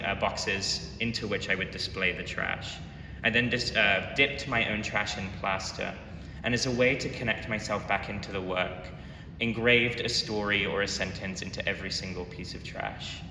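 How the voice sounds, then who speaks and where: A young man from London talks earnestly and reflectively about his work, his voice slightly low. He is picked up from far off in a long-ringing hall, with a steady rumble of noise behind him. The sound is that of a museum gallery talk. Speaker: artist giving a gallery talk. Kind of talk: presentation talk. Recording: echoey hall, mic far off, noisy rumble.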